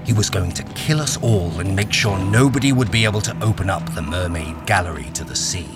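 Man acting a deep-voiced brooding miner narrator. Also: brisk, lightly acted. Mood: dread. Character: weary, haunted male miner narrating his own ordeal. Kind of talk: dramatic first-person radio narration. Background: music.

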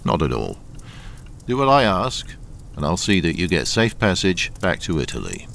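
A male actor as a menacing smuggling boss from a radio crime drama. His low voice swoops widely as he patronizes and threatens with smooth politeness. There is faint noise behind him. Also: very animated, normal speed, a bit bright, full character voice. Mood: coolly coaxing. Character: suave menacing smuggling boss, coolly blackmailing a deserter.

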